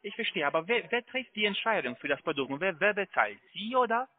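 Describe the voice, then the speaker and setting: High, swooping non-native salesman on the phone. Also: sing-song, brisk, no room sound. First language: not German. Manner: polite, effusive. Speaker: phone salesman, product adviser. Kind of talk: semi-scripted sales phone call. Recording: tinny narrowband phone line.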